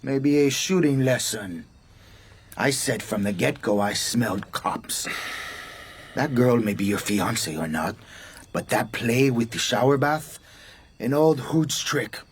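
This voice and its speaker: An actor playing a menacing, curt and crude gangster assassin in a radio drama. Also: male voice, medium pitch, a little slow, a little hiss or hum, close to an everyday voice. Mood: sly, suspicious.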